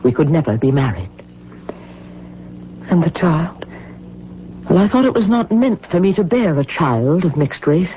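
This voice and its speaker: An actress playing a proud, mystical older woman in an old-time radio drama, speaking gravely and haltingly with theatrical weight. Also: fairly low pitch, big pitch swings. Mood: solemn. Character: heavy old Hawaiian woman, proud, mystical, solemn former lover. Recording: muffled, noisy old radio recording.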